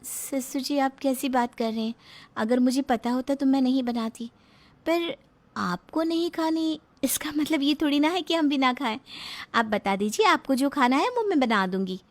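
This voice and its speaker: A woman plays an outspoken wife and daughter-in-law in a melodramatic story. Her voice sits high, and she pleads and argues protectively against a household bully. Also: lively intonation, normal speed. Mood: politely defiant. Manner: pleading yet argumentative. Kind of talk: melodramatic audio story dialogue.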